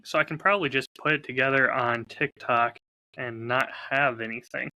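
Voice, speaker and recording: Male, medium pitch, intensely bright forceful tone, self-deprecating, relaxed podcast conversation. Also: normal speed, low-quality recording. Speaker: podcast co-host. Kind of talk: casual chat.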